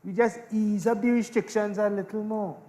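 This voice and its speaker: An extremely high-pitched man with a Trinidadian accent played by a stage actor. He is measured and persistent, explaining his points deliberately and circling back to them as in a live debate scene. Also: fairly even intonation, dark tone, natural voice. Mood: measured, insistent. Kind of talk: community stage play acting.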